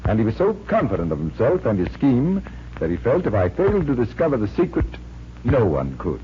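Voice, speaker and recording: A man's voice: mid-range voice, wildly swooping pitch, fairly quick. An actor playing a confident, genial magician detective who explains things like an assured showman, in 1940s radio drama style. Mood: genial, teasing. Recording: muffled, hissy 1940s radio recording.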